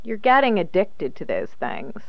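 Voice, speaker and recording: A woman's voice: mid-range voice, big pitch swings, moderate pace, no room sound, highs cut off. An actress playing a blunt, nagging but concerned woman at home in a radio drama. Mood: chiding, concerned.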